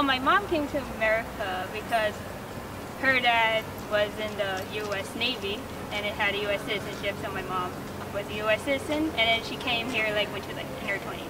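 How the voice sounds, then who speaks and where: A young woman, a student, casually recounting family history in a street interview, with many fillers. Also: fairly high pitch. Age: young adult. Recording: distant mic, loud street noise.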